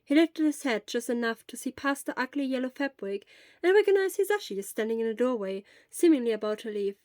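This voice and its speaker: A woman's voice: a little high, very animated, a little fast, a little mellow, dry recording, clean studio sound. A woman reading scripted story narration as an audiobook narrator.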